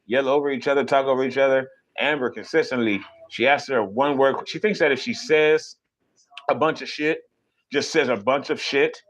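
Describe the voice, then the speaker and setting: Profane, animated male live-streamer. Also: low-quality recording. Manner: animated, profane, mocking, jumps into high mimicking voices. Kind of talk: casual live-stream rant.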